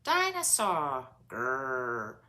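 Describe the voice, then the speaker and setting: Woman as toddler boy pig, storybook, garbled baby talk, drawn-out shouts, bright. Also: rumbling low, wildly swooping pitch, pitched below the performer's own voice, exaggerated voice. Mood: playful growling.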